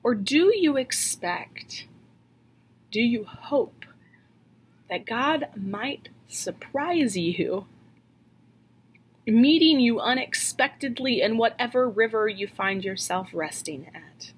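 Woman, thirties, pastor, slow earnest sermon, webcam at home, higher voice. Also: animated, a little hiss or hum. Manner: earnest, questioning, slows for emphasis. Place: home office. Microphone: webcam at desk.

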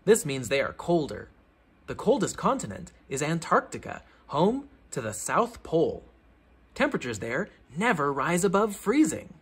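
A man reading a children's science book aloud like a teacher, with theatrical emphasis and clear explanatory phrasing. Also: fairly high pitch, sing-song, moderate pace.

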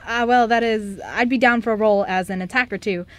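A woman's voice: fairly high pitch, brisk, lightly acted. A woman playing a bubbly, impulsive young stuntwoman who gushes and rambles, in an amateur radio play. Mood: eager. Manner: gushing, rambling.

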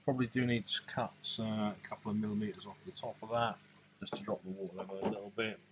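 A man's voice: lowish voice, steady tone, fast talker, very noisy. A man giving a casual, hesitant, unscripted hands-on update as a hobbyist vlogger, on an amateur phone video.

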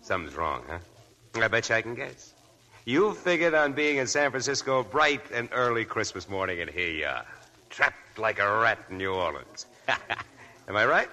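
An actor playing a breezy, hard-drinking, talkative nightclub press agent, glib and slangy, in a 1940s radio drama. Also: male voice, fairly low pitch, big pitch swings, moderate pace, sharp tone. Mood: teasing, tipsy. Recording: 1940s radio broadcast with music.

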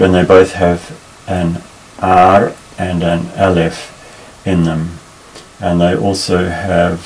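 A man teaching a Bible Hebrew lesson at a table, explaining patiently with many pauses and restarts. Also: deep voice, low-quality recording. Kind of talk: unscripted tabletop lesson, explaining while pointing. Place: indoors at a table.

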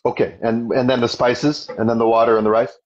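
A man's voice: mid-range voice, level tone, brisk, background noise. A man in his forties hosting a casual live chat from home while cooking, rambling through his questions. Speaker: host. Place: home room with kitchen. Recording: dull lo-fi livestream audio.

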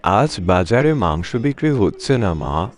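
A man's voice: lowish voice, sing-song, measured pace. A man playing a stingy village farmer and father in a cartoon fairy tale, with a dramatic, whiny manner. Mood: dismissive.

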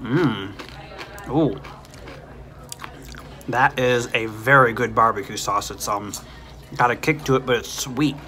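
A man's voice: medium pitch, takes it slowly, pauses often, noisy background. Chatty man filming on his phone. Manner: chatty, easygoing, thinking aloud with ums. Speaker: vlogger exploring places and reviewing food. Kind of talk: casual walkthrough commentary and food review. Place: outside an empty restaurant, then inside a diner. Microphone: phone camera at arm's length.

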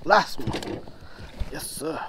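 A man's voice: a little high, animated, takes it slowly, a bit bright. A young man muttering short remarks to himself while fishing from a small boat, recorded on a body-worn action camera. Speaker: fishing vlogger. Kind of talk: casual self-talk while fishing. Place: small boat on a lake outdoors. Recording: outdoor wind and water noise.

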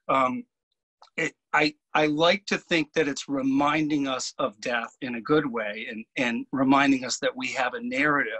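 A man talks as a guest in a reflective interview. His voice is bright and medium in pitch. He speaks haltingly, restarting phrases as he works out his thoughts. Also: poor audio. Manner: halting, thinking aloud with repeated starts. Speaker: guest fiction writer.

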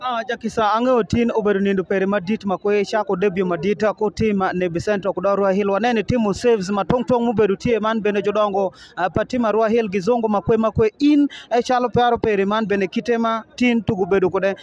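High, fast-talking young co-interviewer. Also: male voice, fairly even intonation, a little mellow. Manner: long, rambling questions. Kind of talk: post-match field interview. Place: outdoor football field among a large crowd. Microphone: handheld mic. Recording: dull outdoor audio.

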